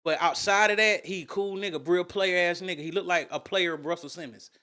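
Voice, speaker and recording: Young guy on a podcast, high bright voice, slangy and cussing a lot. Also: fairly even intonation, brisk, clean tone. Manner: loose slangy storytelling, profane. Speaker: podcast co-host. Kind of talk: casual chat.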